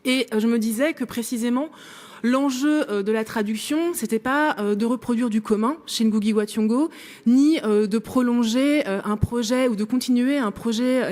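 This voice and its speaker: A woman's voice: a little high, warm tone. A woman making a long academic remark in a workshop discussion, hesitant with many fillers but building long argued sentences. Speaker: workshop participant.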